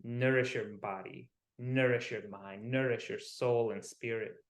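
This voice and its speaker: A man's voice: mid-range voice, fairly even intonation. Man guiding a meditation in an echoey room. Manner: soothing, unhurried, with pauses between short phrases. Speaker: wellness coach leading guided visualization. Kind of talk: guided meditation. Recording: roomy, echoing sound.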